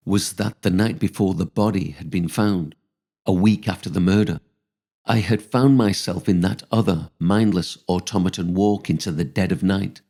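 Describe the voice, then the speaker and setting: A man narrating a scripted first-person account as its author, in measured, literary storytelling. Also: low-pitched, warm tone.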